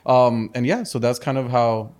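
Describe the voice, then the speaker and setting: A man's voice: mid-range voice, normal speed, darkish tone. A man in his thirties who grew up in Spain, a business guest talking in a casual interview, earnest, restarting sentences and tagging them with "correct?". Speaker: entrepreneur guest.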